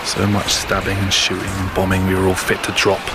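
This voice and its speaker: Grim low-voiced veteran soldier, loud battle din. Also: male voice, completely flat delivery, fairly quick. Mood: grim, weary. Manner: colloquial, unschooled grammar. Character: seasoned working-class British WWI soldier, grim and steadfast. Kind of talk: audio drama monologue. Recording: loud battlefield noise over the voice. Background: loud outdoor war ambience.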